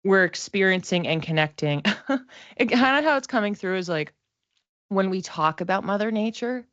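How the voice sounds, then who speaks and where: A woman giving a casual, informal tarot reading as a psychic, reflective and halting, often giggly as she talks. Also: medium pitch, lively intonation, a bit bright, no echo, muffled recording.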